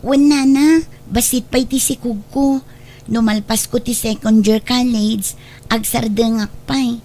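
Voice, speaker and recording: A woman's voice: fairly high pitch, steady tone, unhurried, mellow, natural voice. A woman acting a young, polite pregnant college student and wife in an Ilocano radio drama, with a Filipino Ilocano accent. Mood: grateful, polite.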